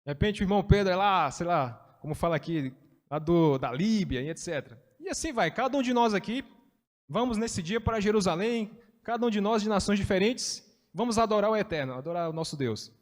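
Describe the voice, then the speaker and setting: High-voiced man teaching Bible study. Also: animated, fairly quick, in a large hall. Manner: chatty, explains with everyday examples, frequent "né".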